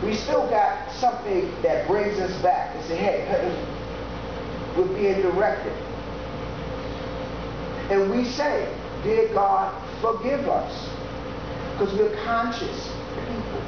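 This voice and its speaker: A preacher giving an informal sermon, talking slowly and conversationally with frequent "yeah" and "you know". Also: mid-range voice. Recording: echoey, dull recording with steady background noise.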